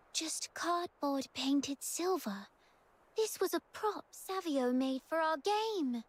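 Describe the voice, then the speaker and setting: Very high-pitched anxious schoolgirl. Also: heavily acted. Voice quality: girlish. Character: anxious young schoolgirl, pleading and earnest. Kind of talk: video game voice acting. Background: background music.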